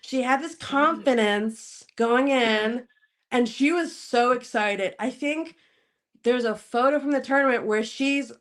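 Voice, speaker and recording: A woman from Spokane, Washington, speaking as a podcast guest in casual interview chat, laughing often and pausing to search for words. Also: on the high side.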